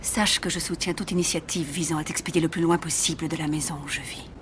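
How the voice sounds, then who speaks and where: A woman playing a stern, controlled mother worn down by her rebellious son in a dubbed TV drama, with measured, formal, biting delivery that often turns hushed. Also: sits low, fairly even intonation, background noise, natural voice. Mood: cold, cutting. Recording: music under the voice. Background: music.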